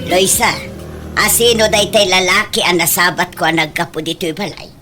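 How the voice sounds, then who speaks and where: A woman with an Ilocano accent playing a protective village mother who gently scolds and cautions, in a radio drama. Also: on the low side, moderate pace, faint music behind. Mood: disapproving. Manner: admonishing, motherly.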